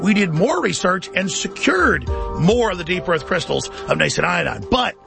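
Male ad announcer, gravelly and animated, dramatic hard sell, music under it. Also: moderate pace. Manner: intense, dramatic sales pitch. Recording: ad read over loud music.

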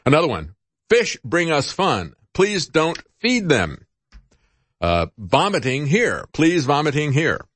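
A man's voice: fairly high pitch, wildly swooping pitch, slow, fairly bright tone, dry recording. A middle-aged man hosting a talk show, giving informal, rambling, conversational news commentary. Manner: rambling, conversational, chuckles at his own asides.

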